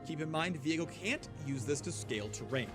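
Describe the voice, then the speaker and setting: A man's voice: fairly high pitch, expressive, brisk. A man reading scripted promotional narration for a video game, dramatic, punchy and playful. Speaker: narrator of a game champion spotlight. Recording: clear music under the voice. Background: music.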